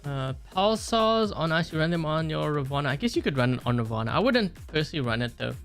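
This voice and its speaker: A man's voice: fairly high pitch, sing-song, quick pace, fairly bright tone, soft background music. Chatty male gamer commentating a live stream. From South Africa. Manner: chatty, thinks aloud with many fillers. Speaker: game streamer.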